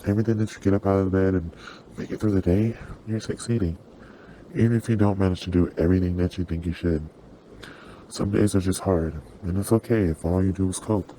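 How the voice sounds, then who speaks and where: Very deep, mellow, gentle demon, voice-changed performer. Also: a little hiss or hum, rough recording. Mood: reassuring. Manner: soothing, reassuring, conversational. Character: gentle, apologetic sleep paralysis demon comforting a human. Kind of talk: ASMR roleplay.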